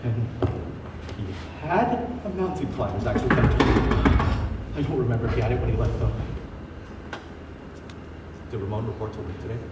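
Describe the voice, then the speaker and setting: Echoey, distant hall recording of a pompous, oblivious revolutionary acted by a young man, declamatory and very animated, for stage comedy. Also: mid-range voice, normal speed, long pauses, very noisy, lightly acted. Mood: smug, oblivious. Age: young adult. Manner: declamatory, theatrical. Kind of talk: comedic stage play acting. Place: school stage, recorded from the audience.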